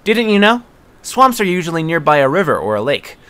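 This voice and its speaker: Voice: medium pitch, big pitch swings, a little fast, fairly bright tone, clean tone. An actor playing an eager, matter-of-fact young adventurer in an audio drama, explaining things in a friendly way.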